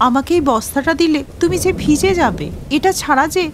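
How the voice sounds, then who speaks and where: A woman's voice: high-pitched, lively intonation, fairly quick, a little hiss or hum. A woman voicing a worried, kind-hearted street snack seller in a cartoon story. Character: worried, kind-hearted samosa seller, struggling young wife.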